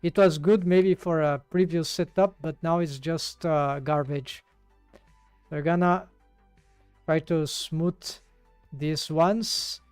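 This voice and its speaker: A man's voice: fairly high pitch, fairly even intonation, takes it slowly, a little mellow, clear, resonant tone, no echo, soft background music. A man with a Brazilian accent, working through a 3D rigging task live and thinking aloud with many fillers and restarts. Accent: Brazilian Portuguese. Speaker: 3D rigging streamer. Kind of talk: live tutorial while working.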